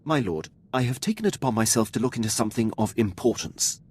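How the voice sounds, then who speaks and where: An actor playing a dutiful Imperial military captain in a video game, speaking in a formal, clipped, restrained way. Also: male voice, medium pitch, animated, quick pace, husky. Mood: formal, earnest.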